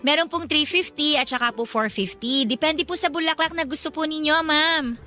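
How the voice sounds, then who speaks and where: A woman's voice: sits high, moderate pace, bright, forceful tone, soft background music. A woman playing an earnest, loyal young flower vendor in a radio drama. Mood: polite, eager.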